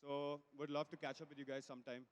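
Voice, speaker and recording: Man, twenties, slightly high pitch, casual panelist, echoing hall. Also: level tone, fast talker, lo-fi sound. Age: 20s. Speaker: technology lead, panelist. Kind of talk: casual self-introduction. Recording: reverberant hall microphone.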